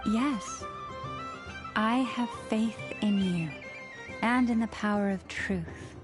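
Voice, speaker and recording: A woman performs a faithful, kindly companion from a video game in a medium-pitched voice. The delivery is earnest, measured and reassuring, with formal phrasing over clearly audible music. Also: lightly acted. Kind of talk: dramatic video game voice acting. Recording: music behind the voice.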